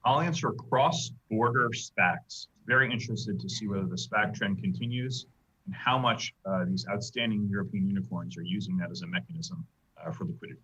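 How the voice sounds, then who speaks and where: A man speaking as an investor on a panel, deliberate and pausing often with "uh". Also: on the low side, expressive, bright, forceful tone, breathy edge, dull audio. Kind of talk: panel discussion.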